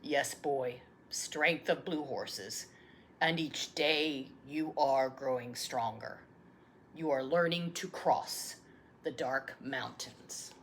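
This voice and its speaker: A woman voicing a gentle old grandfather who tells a tale slowly, gravely and softly in a storybook read-aloud. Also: extremely low pitch, a little hiss or hum, pitched below the performer's own voice, heavily acted. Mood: reassuring. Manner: slow, grave, hushed storytelling.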